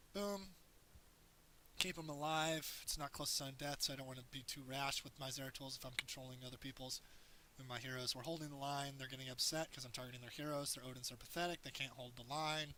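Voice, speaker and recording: A man with a hoarse voice narrates his own strategy game match, walking through tips in a loose, rambling way. His tone is bright and forward, with a light hiss under the recording. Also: medium pitch, fairly even intonation, quick pace, poor audio. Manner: explains as he plays, loose and rambling. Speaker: gameplay commentator. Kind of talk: casual game tutorial commentary.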